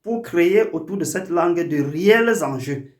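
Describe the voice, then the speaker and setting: A man's voice: a little high, expressive, moderate pace, echoey room. A man who hosts a video channel and makes a formal, earnest appeal to his community, with frequent hesitations. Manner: formal, oratorical, many hesitations. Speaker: language activist and YouTube channel host.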